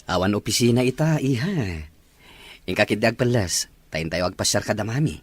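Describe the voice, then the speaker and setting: A man's voice: lowish voice, animated, moderate pace, noisy background, natural voice. A man playing an affectionate, easygoing father and husband in an Ilocano audio drama.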